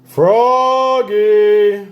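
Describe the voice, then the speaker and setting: A man's voice: high voice, fairly even intonation, drawn-out words, dull audio, pitched above the performer's own voice. A man voicing a stern, exasperated father frog in a children's storybook, barking orders. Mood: exasperated yelling.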